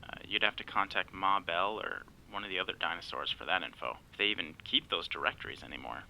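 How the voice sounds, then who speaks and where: A low-voiced man playing the wisecracking best friend in an audio drama. He is bossy and wry, delivering sarcasm with exasperation, over clear background noise. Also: quick pace, bright, forceful tone, exaggerated voice, rough recording. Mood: wry, exasperated. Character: sardonic, bossy male best friend named Larry, cellphone company worker. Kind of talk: naturalistic audio drama acting.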